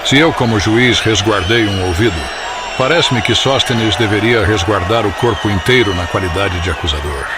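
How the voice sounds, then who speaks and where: A man playing a calm, witty Roman magistrate in a dramatised audiobook, speaking in a formal, measured, dryly humorous way. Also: fairly low pitch, over a noisy crowd, close to an everyday voice. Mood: unbothered, wry. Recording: music behind the voice.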